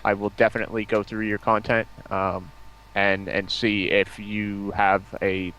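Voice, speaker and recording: Low-voiced American man chatting casually. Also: fairly bright tone, poor audio. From United States. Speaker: stream guest running a streamer program. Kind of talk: casual chat.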